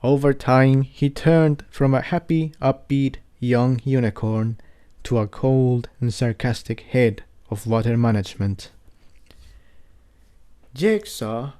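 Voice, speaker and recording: A man reading an adventure story aloud as narrator, in a measured, earnest way. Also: medium pitch, steady tone, dark tone, clear, resonant tone, no echo. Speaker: amateur fan fiction reader.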